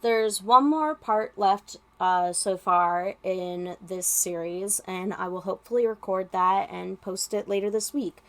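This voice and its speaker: A woman reading a story as narrator, with expressive, dramatic delivery. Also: mid-range voice, unhurried, few pauses. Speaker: podfic narrator.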